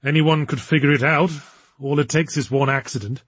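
A man's voice: a little high, steady tone, brisk, a bit bright, slightly rough, dry recording. A man voicing an earnest, irritable male occult detective in an audiobook, arguing and protesting. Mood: argumentative.

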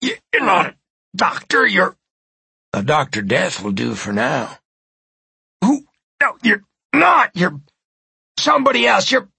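A man voicing a frightened older man with a heart condition, pleading and shouting in pain in a gravelly, husky voice. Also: medium pitch, big pitch swings, moderate pace, dry recording, heavily acted. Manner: strained, pleading, raising his voice in pain.

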